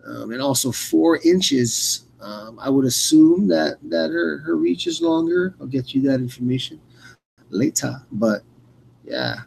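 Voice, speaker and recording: A man hosting fight commentary in a casual, rambling, unscripted way, often halting and repeating words. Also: medium pitch, vocal fry, a little mellow, breathy edge, low-quality recording. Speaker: radio play-by-play host.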